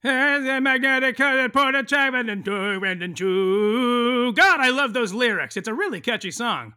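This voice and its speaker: A man's voice: very high voice, a little slow, sharp tone, clear, resonant tone, heavily acted. A man playing a mock-grandiose, tongue-in-cheek narrator of an audio soap opera, delivering scripted narration theatrically. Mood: mock suspense. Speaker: narrator reading recap and credits.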